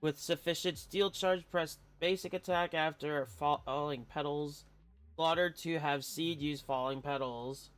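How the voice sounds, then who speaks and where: A man's voice: fairly high pitch, steady tone, a little slow, fairly bright tone, smooth. A man giving casual gameplay commentary as a streamer. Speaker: game streamer.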